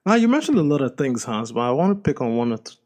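A man's voice: mid-range voice, big pitch swings, fast, a little mellow. A man hosting an interview podcast, introducing his guest and asking upbeat questions. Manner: upbeat, reads sponsor copy then asks questions. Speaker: podcast host.

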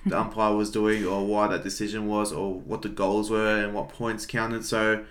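Young Australian male, lowish pitch, easygoing podcast co-host with dry, self-deprecating delivery. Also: flat delivery, moderate pace. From Melbourne, Australia. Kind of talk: casual podcast chat.

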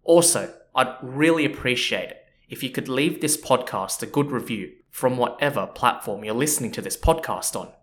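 A man's voice: mid-range voice, lively intonation, normal speed, hardly any pauses. A man hosting a history podcast, explaining in a chatty, casual way with lots of "you know" and "so yeah". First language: not Japanese. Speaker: podcast host. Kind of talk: casual educational podcast narration.

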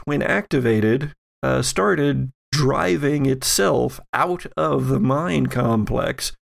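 A man narrating and recapping a tabletop roleplaying game as game master, in measured phrases with pauses. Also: mid-range voice, creaky.

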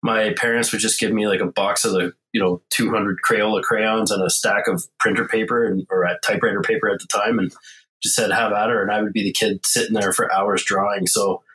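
A man's voice: fairly low pitch, fairly even intonation, a little fast, a bit bright, low-quality recording. A man in his forties, talking as a podcast guest in a loose, rambling way with many fillers and restarts. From Canada. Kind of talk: casual interview conversation.

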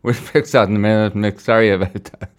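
A man's voice: fairly low pitch, fairly even intonation, brisk. A man hosting a radio show from a studio in a casual, chatty and informal style. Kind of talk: casual live radio presenting. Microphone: close studio microphone.

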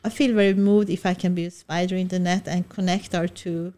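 A woman's voice: on the low side, fairly even intonation, a little fast, mellow. A woman from Sweden with a Swedish accent, a guest in a casual interview, talking enthusiastically with frequent restarts and small laughs. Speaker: guest, film director and Swedish teacher.

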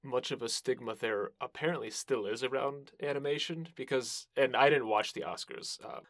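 A man's voice: mid-range voice, moderate pace, fairly bright tone, no echo, clean studio sound. A man hosting a podcast interview, talking in a casual, conversational style.

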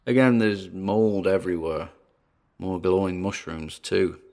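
An actor as a low, gravelly paranormal investigator. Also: male voice, unhurried, darkish tone. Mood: curious, observant. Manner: hesitant, muttering observations with sighs and trailing asides. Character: uneasy male paranormal investigator narrating his exploration on tape. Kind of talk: audio drama found-recording monologue.

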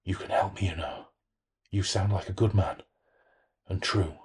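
A man's voice: low voice, normal speed, husky. Man voicing a whispery, sly, grinning demonic spirit. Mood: coaxing, sly. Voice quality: whispery, soft. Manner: secretly grinning, insinuating. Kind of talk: horror audiobook-style reading.

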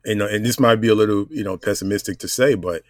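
A man with a deep voice hosts a podcast. He talks in a casual interview style, and the sound is dry with very little room in it. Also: fast talker, dry recording. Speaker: podcast host.

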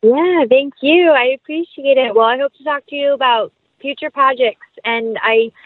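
A woman's voice: sits high, moderate pace, no echo, phone-quality sound. An American woman speaking effusively and casually as a guest in an interview. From North Carolina, United States. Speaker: guest actress.

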